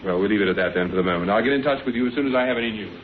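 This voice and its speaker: A man acting a shrewd, courteous British private detective in a radio drama, asking brisk, probing, measured questions. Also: lowish voice, natural voice. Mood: reassuring. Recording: muffled narrowband old radio recording, faint noise.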